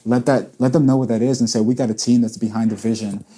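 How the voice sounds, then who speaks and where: A man's voice: mid-range voice, fairly even intonation, quick pace, mellow. A man in his late twenties, a church planter and pastor, talking earnestly in a casual panel chat and repeating words as he thinks. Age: 20s.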